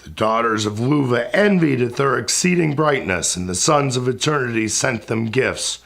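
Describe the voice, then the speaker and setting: A man's voice: mid-range voice, expressive, moderate pace, gravelly, fairly bright tone. A man playing a wrathful, sorrowing ancient spirit king in a recited epic poem, speaking in a grand, commanding, declamatory way. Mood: lamenting. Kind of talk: dramatic epic verse recitation.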